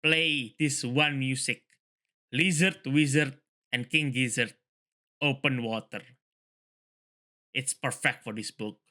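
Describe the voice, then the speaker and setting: Male, very bright forceful voice, read-along host, casual, repetitive. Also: mid-range voice, slow, pauses often. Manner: rambling, repeats phrases. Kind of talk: book reading mixed with casual commentary.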